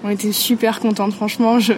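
A woman's voice: mid-range voice, little pitch movement, brisk, background noise. A woman musician chatting in an interview, talking freely with frequent fillers. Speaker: musician in a duo. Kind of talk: casual interview answers.

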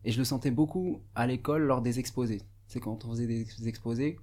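A man's voice: medium pitch, fairly quick, a little mellow. A young man from Morocco whose first language is Arabic, speaking as a radio guest in a casual, hesitant, thoughtful way with many fillers and restarts. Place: radio studio.

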